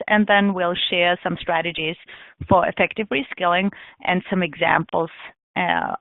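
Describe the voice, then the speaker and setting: A woman from Austria giving a webinar presentation, talking in a measured way with frequent ums. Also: fairly low pitch, creaky, dry recording. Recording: tinny phone-line audio.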